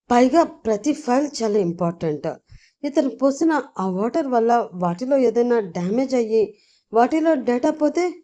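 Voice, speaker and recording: A woman's voice: fairly low pitch, darkish tone, lightly acted. A woman voicing a stubborn young office assistant who argues back indignantly. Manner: indignant, argumentative.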